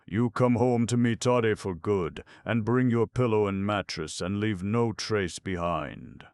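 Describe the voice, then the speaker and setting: A man's voice: sits low, expressive, measured pace, gravelly, no echo, full character voice. A man putting on a boisterous old buffoon. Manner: shouting, jeering, theatrical.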